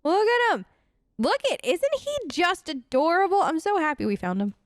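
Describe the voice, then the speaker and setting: A woman's voice: extremely high pitch, very animated, moderate pace, dry recording, studio quality. A woman doing playful, informal role-play commentary as she hosts a video game let's play, chatting in character. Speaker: gaming host.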